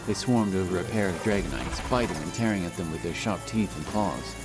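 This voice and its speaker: Male narrator, low register, dramatic scripted fantasy storytelling over prominent music. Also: lively intonation, a little fast. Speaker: audiobook narrator. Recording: loud music behind the voice.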